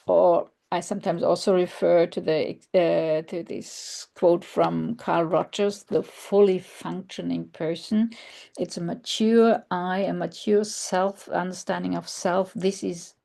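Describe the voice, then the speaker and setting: German-accented woman, low and gravelly. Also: warm tone. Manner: halting, many fillers and restarts; thoughtful, searching for words. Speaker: researcher presenting. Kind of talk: online conference presentation.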